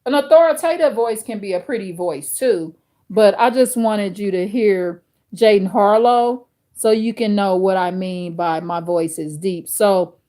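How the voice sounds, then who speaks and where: A woman with a deep, authoritative voice hosting a livestream, reading viewer chat aloud and answering slowly with many fillers and restarts. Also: dark tone. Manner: addresses viewers directly. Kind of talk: casual chat with live viewers.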